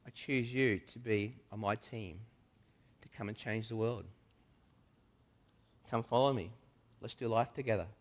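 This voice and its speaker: A man voicing a calm, gentle man who calls followers to join him, speaking softly and patiently in a dramatic monologue. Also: mid-range voice, moderate pace, long reverb tail, lightly acted. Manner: soft, patient, reassuring. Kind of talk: dramatic first-person monologue.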